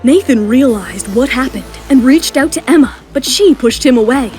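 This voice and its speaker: High-pitched woman narrating a drama. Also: animated, normal speed, darkish tone. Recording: music behind the voice.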